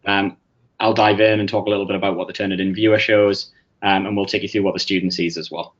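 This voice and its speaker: A man from England with a Northeast English accent presenting a webinar, pausing often with fillers and restarts. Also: deep voice, flat delivery. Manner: hesitant, many fillers and restarts. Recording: muffled lo-fi audio.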